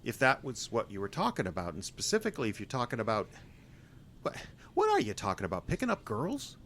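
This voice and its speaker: A man's voice: medium pitch, wildly swooping pitch, brisk, fairly bright tone. A squeamish, skeptical working man in a horror radio play. His mid-range voice leaps around with incredulity and exasperation. There is faint ambient noise behind him. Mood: confused. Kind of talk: horror audio drama dialogue.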